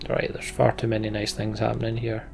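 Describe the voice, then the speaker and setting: A man's voice: on the low side, completely flat delivery, fairly quick, gravelly, a little mellow, slight background noise. A British man giving casual, wry game commentary as a let's play host, muttering to himself as he goes. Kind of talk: casual game commentary, reading on-screen story aloud. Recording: game music behind the voice. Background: video game music.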